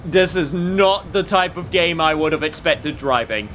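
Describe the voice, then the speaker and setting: A man's voice: a little high, unhurried, noisy background. A man giving casual, wry gameplay commentary as a streamer, joking at his own expense and thinking aloud. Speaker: streamer playing a game. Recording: faint game music behind.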